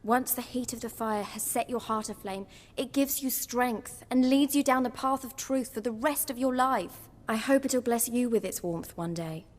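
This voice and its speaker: A woman's voice: a little high, moderate pace, few pauses, lightly acted. Woman playing a bitter, sarcastic young noblewoman. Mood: resolute. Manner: cold, sharp, sarcastic. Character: bitter young noblewoman, estranged daughter, zealous. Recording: long hall reverb.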